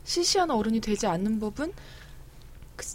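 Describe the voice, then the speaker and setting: A woman's voice: a little high, moderate pace. A woman in her thirties, a guest author, talking in a casual, chatty way in an interview. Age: 30s. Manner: lively, chatty, laughs easily.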